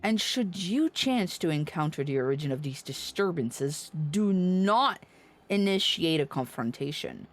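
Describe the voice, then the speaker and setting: A woman's voice: fairly low pitch, expressive, a little slow, faint music behind. A woman voicing a stern, formal guildmaster in a fantasy game, speaking solemnly in archaic phrasing. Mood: solemn, admonishing. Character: stern, formal guildmaster of healers, lecturing a pupil.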